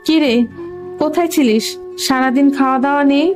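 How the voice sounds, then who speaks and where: High, fretful village mother played in a cartoon, music behind. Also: fairly quick, natural voice. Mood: fussing. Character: poor village mother, fretful, scolding yet caring. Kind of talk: Bengali cartoon voice acting.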